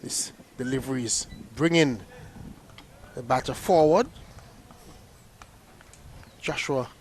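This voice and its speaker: A man with a slightly raised pitch calls a cricket match live, chatty and loose, stumbling into repeats like "they, they" as he goes. His talk flows like relaxed booth commentary. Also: slight background noise. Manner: chatty, often repeats words when restarting.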